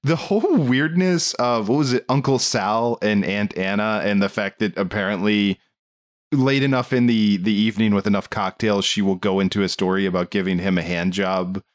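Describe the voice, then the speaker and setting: A man talking casually as a podcast host, rambling along in an animated, amused way. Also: fairly low pitch, moderate pace. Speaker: film discussion podcast host. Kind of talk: casual movie review chat.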